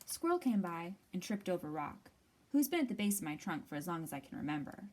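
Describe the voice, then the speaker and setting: A woman hosting a library storytime, reading a picture book aloud expressively with deliberate, dramatic pauses. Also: lowish voice, very animated, darkish tone.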